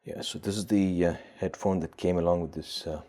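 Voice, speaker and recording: A man's voice: deep voice, fairly even intonation, brisk, dark tone. A man hosting a casual gadget demonstration, thinking aloud in a hesitant, unscripted way as he tests things. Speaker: gadget collector and video host.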